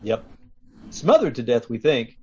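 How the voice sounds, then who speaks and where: A man's voice: mid-range voice, wildly swooping pitch, a little slow, mellow, slightly rough, exaggerated voice. A man playing a matter-of-fact, laconic police officer in 1940s radio detective drama style. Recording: dull, lo-fi video-call audio.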